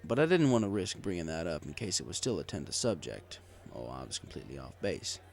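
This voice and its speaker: A man's voice: very deep voice, big pitch swings, fast talker, vocal fry, darkish tone, slight background noise. A man reading a scripted first-person story in the manner of an audiobook narrator. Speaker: story reader.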